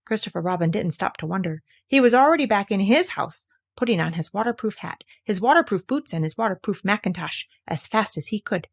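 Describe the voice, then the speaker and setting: A woman narrating a children's storybook in an expressive read-aloud style. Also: mid-range voice, brisk, hardly any pauses, breathy edge. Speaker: storybook reader.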